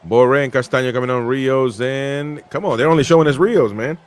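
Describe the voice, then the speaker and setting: A man's voice: medium pitch, fairly quick. Host: Peru-born man, casual live match chat, reads comments from viewers.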